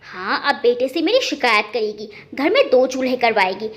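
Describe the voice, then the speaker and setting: Woman doing a high, scolding older mother-in-law. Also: animated, normal speed, fairly bright tone. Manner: scolding, accusatory. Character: venom-tongued, accusing older mother-in-law.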